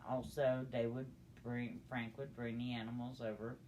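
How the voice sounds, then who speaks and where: A woman's voice: very deep voice, flat delivery, moderate pace, a little mellow. An older woman shares a tale in a casual, folksy way. Her speech is plain and unpolished, with some noise around it on a homemade-sounding recording. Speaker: storytelling guest. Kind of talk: casual storytelling.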